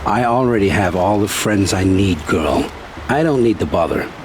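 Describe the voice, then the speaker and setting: An actor playing a gruff, guarded outlander scout who is dismissive, in a video game cutscene. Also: male voice, lowish voice, expressive, normal speed, noisy background, close to an everyday voice. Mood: curt, dismissive.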